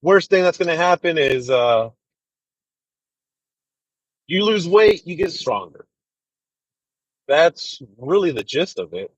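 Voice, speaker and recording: A man in his thirties chatting casually with viewers on a livestream, rambling and relaxed with lots of fillers. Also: a little high, takes it slowly, pauses often, no room sound, highs cut off. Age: 30s. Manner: laid-back, rambling, full of fillers and "dude". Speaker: truck driver livestreamer. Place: inside a truck cab.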